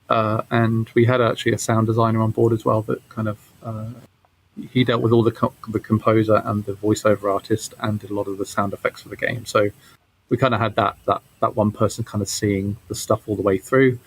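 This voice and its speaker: A man giving casual interview answers as a game developer, halting and often repeating his words. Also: lowish voice, level tone, vocal fry, slightly rough, poor audio.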